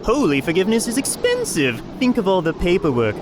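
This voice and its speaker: Pompous, greedy old high priest, noisy backdrop. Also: male voice, wildly swooping pitch, a little fast. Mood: pompous, grasping. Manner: pompous, wheedling salesman.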